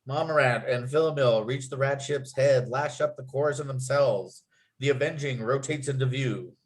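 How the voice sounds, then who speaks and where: A man's voice: medium pitch, moderate pace, poor audio. A man reading out screenplay scene headings and action as the narrator of a script table read. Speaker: narrator and writer.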